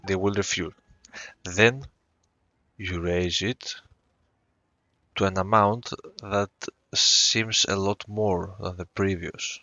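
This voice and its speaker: A man's voice: low voice, fairly even intonation, takes it slowly, pauses often, bright, forceful tone. A man giving casual game commentary as a streamer, joking and sardonic. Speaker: gaming streamer. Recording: dull, echoey room recording.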